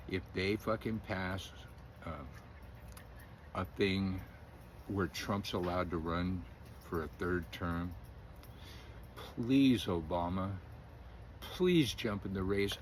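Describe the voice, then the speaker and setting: Middle-aged male commentator, lowish voice, opinionated and rambling, faint hum behind. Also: takes it slowly, long pauses. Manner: rambling, opinionated, chuckles often, hesitant fillers. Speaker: independent news commentator. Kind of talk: casual political commentary.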